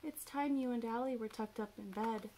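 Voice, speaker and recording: A woman voicing a calm father owl in a children's storybook, soft and reassuring with his child. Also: fairly high pitch, normal speed, slight background noise, lightly acted, rough recording. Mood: soothing. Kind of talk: children's picture book read-aloud.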